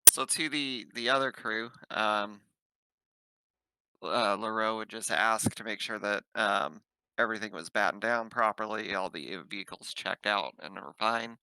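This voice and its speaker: Very bright, sharp-voiced man at game table. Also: fairly low pitch, measured pace, smooth, no echo, low-quality recording. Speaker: player in a tabletop roleplaying game. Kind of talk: casual roleplay narration and chat.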